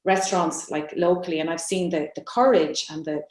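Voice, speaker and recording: A woman's voice: fairly low pitch, steady tone, brisk, a little mellow. A woman writer talking reflectively on a panel, thoughtful and hesitant, circling back over her phrases. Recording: roomy, echoing sound.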